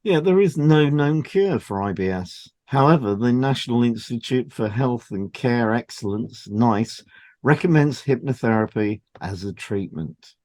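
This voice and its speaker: A man co-hosting a podcast, reading scripted health information in a measured, explanatory way. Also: mid-range voice, expressive, a little mellow. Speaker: podcast co-host and hypnotherapist. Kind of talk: scripted educational podcast, read aloud.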